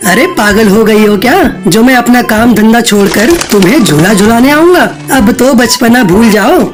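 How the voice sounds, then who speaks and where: A grumbling crow husband played by a woman in a children's cartoon, with a fairly high voice. He speaks bluntly and practically over some music. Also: lively intonation, normal speed, lightly acted. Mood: annoyed. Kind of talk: Hindi children's cartoon dialogue. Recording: light music behind voice.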